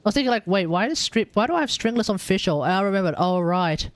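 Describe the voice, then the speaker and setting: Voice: mid-range voice, expressive, quick pace, dry recording. A live streamer giving casual gaming commentary, thinking aloud and talking to the chat.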